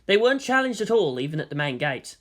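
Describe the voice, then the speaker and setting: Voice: medium pitch, sing-song, quick pace. A narrator reading a story aloud in a dramatic, scripted audiobook style.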